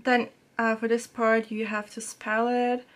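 A woman's voice: a little high, unhurried, very noisy. A young woman talking casually and chattily from a small room at home, reviewing a language app and filling her sentences with little fillers. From Serbia. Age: young adult. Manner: chatty, relaxed, many fillers. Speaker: language learning YouTuber.

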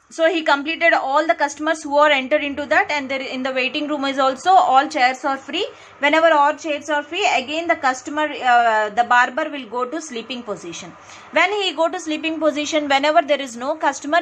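Indian lady teacher, high voice, talks while drawing on a whiteboard, lots of uhs. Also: brisk, a bit bright, a little hiss or hum. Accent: Indian English. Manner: many fillers and restarts, repeats phrases to stress points. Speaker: lecturer. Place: indoors at a whiteboard. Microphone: close mic, speaker off camera, only hands seen writing.

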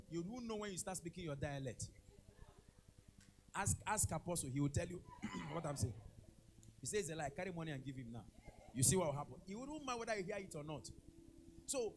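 A man with a Ghanaian English accent preaching a sermon, telling a story with acted dialogue and breaking into shouts. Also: high voice. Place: church service.

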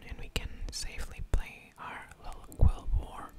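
A woman whispering ASMR game commentary close to the microphone, thinking aloud in a breathy, unvoiced murmur with soft mouth sounds. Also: normal speed. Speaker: gaming ASMR video host.

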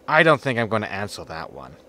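A man playing a weary, dry-witted spaceship captain in a sci-fi comedy audio drama, deadpan in manner. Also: on the low side, fast.

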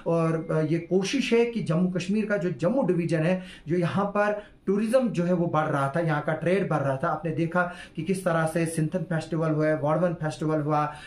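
A man's voice: a little high, moderate pace, hardly any pauses, darkish tone. Hesitant man in an echoey room. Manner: hesitant, heavy fillers, earnest. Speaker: news commentator. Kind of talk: solo news commentary to camera. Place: small indoor room with curtain backdrop.

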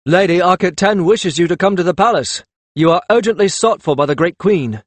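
Man as an urgent, high-pitched royal messenger. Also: fairly quick, no room sound.

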